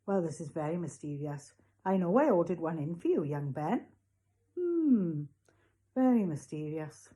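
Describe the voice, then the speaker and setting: A woman voicing an eccentric, eager male newsagent in a storybook, deliberate and full of salesman's enthusiasm. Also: mid-range voice, very animated, darkish tone, muffled recording, natural voice. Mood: slow, puzzled. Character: eccentric, eager male newsagent pushing odd special offers. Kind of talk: storybook character voice.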